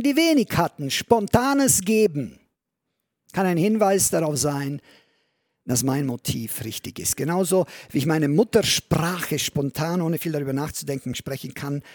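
High-pitched guy preaching, earnest, goes loud then real quiet, dry close sound. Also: no echo. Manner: earnest preaching, swells loud on key phrases, drops to near whisper. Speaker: pastor. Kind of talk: sermon.

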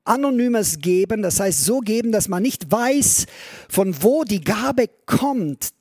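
A man preaching a sermon in a church, earnest, rising loud on key phrases and sinking to a near whisper. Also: high voice, expressive, slow, dry recording, studio quality. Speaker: pastor.

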